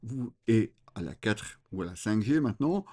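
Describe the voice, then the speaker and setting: A man giving an unscripted, conversational product review, explaining things to viewers with frequent hesitant pauses. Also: fairly low pitch, expressive, warm tone, breathy edge. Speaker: motorcycle gear reviewer.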